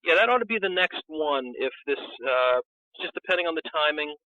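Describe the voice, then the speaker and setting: A man's voice: a little high, animated, fairly quick. Guy being interviewed over the phone, thin tinny sound, chatting casually, no room echo. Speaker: interview guest, book publisher. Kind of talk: casual conversational interview answers. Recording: tinny phone-like call line.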